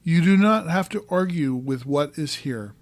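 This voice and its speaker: A man narrating a guided meditation as a coach, giving calm, gentle instructions in short, measured phrases. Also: fairly high pitch, no echo.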